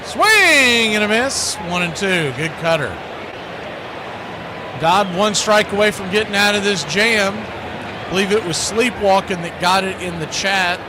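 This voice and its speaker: High-pitched man calling baseball over noise. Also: animated, measured pace. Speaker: baseball play-by-play radio host. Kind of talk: play-by-play commentary mixed with casual chat.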